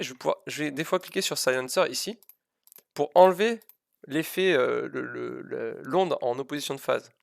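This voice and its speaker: Gravelly-voiced man teaching physics live. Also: on the high side, no room sound, studio quality. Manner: hesitant, self-deprecating, repeats and stresses key words. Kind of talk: informal live lesson, explaining a demonstration.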